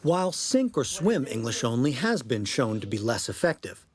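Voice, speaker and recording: A news reporter narrating a scripted broadcast piece in a medium pitch, with intonation that moves up and down in an animated way. The delivery is that of a prepared report. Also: moderate pace.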